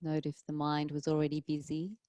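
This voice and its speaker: Low, mellow woman guiding relaxation. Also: dry recording. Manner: calm, soothing, gentle instruction with long pauses. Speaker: yoga teacher leading a class. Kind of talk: guided relaxation, yoga nidra.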